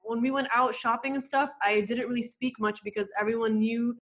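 Fast-talking American woman. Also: on the high side, steady tone, fairly bright tone. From United States. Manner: eager, talkative, asks questions. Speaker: co-host. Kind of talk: casual interview chat.

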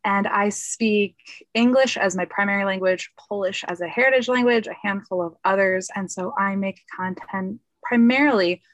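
A woman's voice: mid-range voice, normal speed, no echo. An American woman, a podcast guest, chatting over a video call in an upbeat way. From United States. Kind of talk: casual podcast chat. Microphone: recorded over video call.

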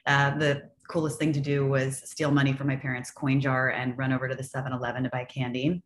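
A woman's voice: a bass voice, little pitch movement, a little fast. An American woman from the Los Angeles area, chatty and self-deprecating, telling her own story as a podcast guest. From Los Angeles area, California. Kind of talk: conversational interview answers, personal storytelling. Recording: echoey room with faint hum noise.

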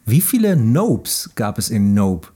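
Casual film podcast host, man, husky and mellow voice, conversational delivery. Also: on the low side, very animated, slow.

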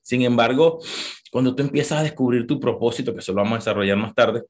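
Husky Venezuelan man, passionate motivational talk. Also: steady tone, fast. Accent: Venezuelan Spanish. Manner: emphatic, rising to high passionate peaks; repeats words, talks straight to the listener. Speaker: motivational speaker. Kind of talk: motivational talk to a live audience.

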